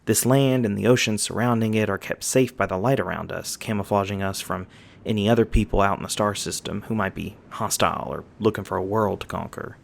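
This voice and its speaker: A man's voice: lowish voice, brisk, vocal fry. A man playing an easygoing, folksy magi guide, explaining patiently in an ASMR roleplay. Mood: relaxed, friendly. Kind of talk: ASMR roleplay, conversational storytelling.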